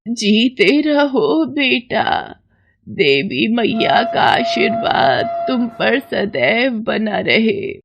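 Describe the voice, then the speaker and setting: Frail elderly woman for cartoon moral story, voiced by a woman; gentle, halting, very unhurried delivery. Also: a little high, big pitch swings, creaky, husky, dry recording, lo-fi sound, heavily acted. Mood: grateful, blessing. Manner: slow, halting, gentle pleading. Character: frail, pleading old woman stranded without money.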